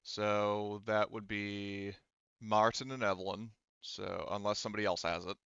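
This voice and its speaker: A man's voice: lowish voice, little pitch movement, measured pace, fairly bright tone, clear, resonant tone, dry recording, dull audio. A man running a tabletop roleplaying game as game master, talking through rulings in a casual, explanatory way.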